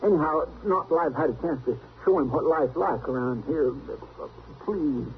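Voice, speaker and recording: An actor playing a folksy, anxious frontier sidekick with a rural American drawl in an old-time western radio drama. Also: male voice, a little high, normal speed, close to an everyday voice. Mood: alarmed, pleading. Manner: folksy, flustered, pleading. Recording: muffled, noisy vintage radio recording.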